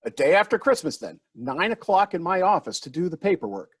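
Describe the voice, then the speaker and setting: A man's voice: a little high, sing-song, fairly quick, breathy edge. A man acting in a play reading as a polite, apologetic investor, formal and slightly bumbling. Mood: eager, courteous. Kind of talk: virtual stage play reading.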